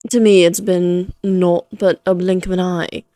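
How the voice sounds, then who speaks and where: Woman doing an immortal vampire lady for an audio roleplay, super slow n teasing, old-timey fancy talk, close dry sound. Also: fairly low pitch, little pitch movement, warm tone, dry recording, natural voice. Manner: teasing, theatrical, archaic genteel phrasing. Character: taunting immortal female vampire, playful, elegant, flirtatious. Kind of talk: Victorian fantasy audio roleplay.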